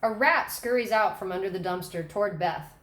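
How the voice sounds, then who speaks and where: A woman's voice: medium pitch, brisk. A woman reading scene directions as scripted narration at a screenplay table read. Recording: roomy echo.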